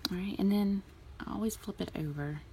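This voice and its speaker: A woman in a relaxed, chatty way explaining a nail art tutorial, with plenty of ums. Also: medium pitch, brisk, husky. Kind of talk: casual tutorial talk.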